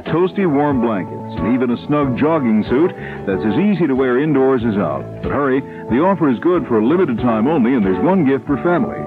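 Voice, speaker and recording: Male radio ad announcer over music. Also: mid-range voice. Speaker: commercial announcer. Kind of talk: scripted radio advertisement. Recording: tinny radio with music bed.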